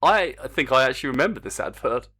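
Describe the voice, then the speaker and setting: A man's voice: medium pitch, expressive, fast, bright, forceful tone, breathy edge. A man streaming his game development work, chatting to viewers in a rambling, self-mocking way. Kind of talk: casual live-stream commentary while coding.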